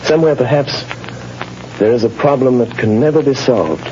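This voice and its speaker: An actor playing an urbane, determined gentleman with a secret identity, grave and theatrical in 1930s radio drama style. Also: medium pitch, moderate pace, natural voice. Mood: earnest, resolute. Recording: dull, noisy 1930s radio recording.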